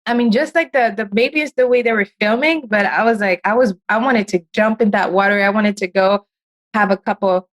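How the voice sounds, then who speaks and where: A woman hosting a podcast, chatting casually with a guest, animated and quick to laugh, often jumping up in pitch. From United States. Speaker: podcast host. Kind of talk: casual interview chat.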